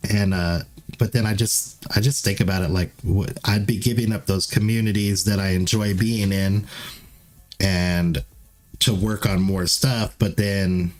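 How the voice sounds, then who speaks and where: A man's voice: low voice, steady tone, a little slow, darkish tone, poor audio. A man talking casually on a live stream, rambling with many fillers and restarts. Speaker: livestreamer.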